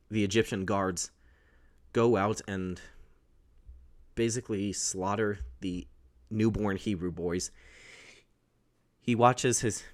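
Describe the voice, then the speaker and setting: A young man with a baritone voice casually recapping a film, rambling and correcting himself as he goes. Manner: rambling, self-correcting, with restarts. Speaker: host.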